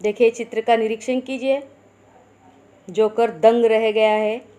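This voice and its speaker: Lady teacher going slow thru a hindi lesson for kids, kinda high voice, asks then answers herself. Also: little pitch movement, warm tone. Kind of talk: Hindi grammar lesson for schoolchildren.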